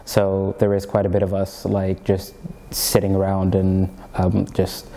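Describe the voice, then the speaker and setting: A man's voice: low-pitched, completely flat delivery, unhurried, mellow, big-room sound. Young Norwegian man with an American accent. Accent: American-sounding English learned in Egypt. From Norway. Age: young adult. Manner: rambling, many fillers and restarts. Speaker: comedian and student guest. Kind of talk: casual interview chat.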